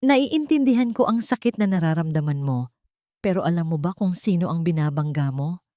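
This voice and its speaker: A woman voicing a calm, doubtful young male journalist in an audio love story. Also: on the low side, no echo, clean studio sound, natural voice. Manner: calm, gentle, measured.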